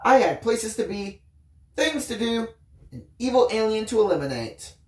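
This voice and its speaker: Woman reading as an earnest bookish boy narrator, lively and roomy. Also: a little high, moderate pace, lightly acted. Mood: reflective, wistful. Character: Daniel, earnest bookish boy narrator, secretly alien hunter. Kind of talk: first-person audiobook storytelling.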